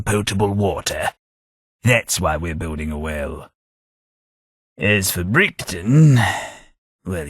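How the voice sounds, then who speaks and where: Game companion: a centuries-old British gentleman, voiced by a man, deep and breathy, dry close sound, polite with wry wit. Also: dry recording, heavily acted. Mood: wistful. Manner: formal, polite and dryly wry. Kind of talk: video game voice acting.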